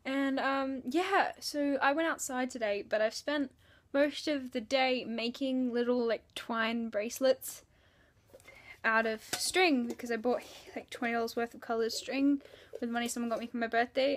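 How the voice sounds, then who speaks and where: High-pitched young Australian woman, chatty. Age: young adult. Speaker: vlogger. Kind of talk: casual video diary chat. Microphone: phone at arm's length.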